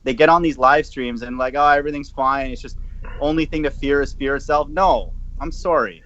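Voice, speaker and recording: A man's voice: medium pitch, steady tone, fairly bright tone. A man on an online panel who talks emphatically and agrees often. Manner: emphatic, quick to agree and laugh. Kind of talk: casual panel chat. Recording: dull lo-fi stream audio.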